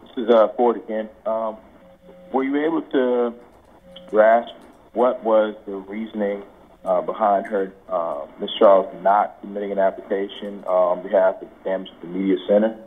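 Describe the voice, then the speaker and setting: A man's voice: fairly low pitch, fairly even intonation, normal speed, breathy edge. A man hesitantly asking a question as a member in a formal conference call meeting. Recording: muffled phone line.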